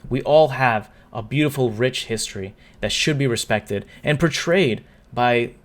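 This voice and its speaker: A man's voice: medium pitch, unhurried. A young man talking to camera in an opinion vlog. He is upset and earnest, and he often trips over and restarts his words. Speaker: YouTuber.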